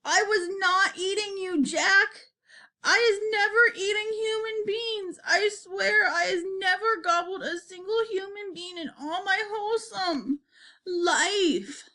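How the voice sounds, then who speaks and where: A woman's voice: medium pitch, sing-song, takes it slowly, few pauses, bright, forceful tone, heavily acted. A woman voicing a huge brutish giant from a children's storybook, screeching, bellowing and pleading in terror. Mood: pleading, terrified. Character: huge brutish man-eating giant, terrified in nightmare. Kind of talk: dramatized children's storybook reading.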